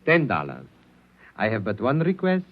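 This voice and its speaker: An actor playing a mysterious, proud foreign dollmaker in a 1950s radio drama, speaking with pompous, ceremonious phrasing. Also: male voice, on the low side, huge pitch swings, normal speed, full character voice. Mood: grandiose, proud. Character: mysterious theatrical dollmaker, proud, grandiose, foreign-sounding showman. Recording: muffled vintage radio broadcast, faint music.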